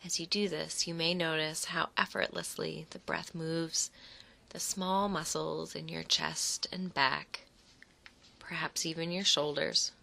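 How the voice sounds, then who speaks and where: A woman guides a relaxation exercise in a low voice with a noticeable creak. She takes her time, letting short, soothing phrases settle between pauses. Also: steady tone, sharp tone. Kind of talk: guided imagery meditation.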